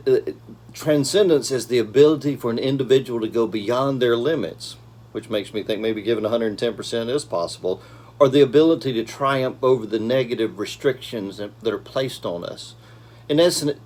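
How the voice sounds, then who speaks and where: Relaxed low-voiced man in an office. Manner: casual, gentle, with many ums and uhs. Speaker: church devotional speaker. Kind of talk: daily devotional talk. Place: small office indoors. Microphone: camera at desk distance.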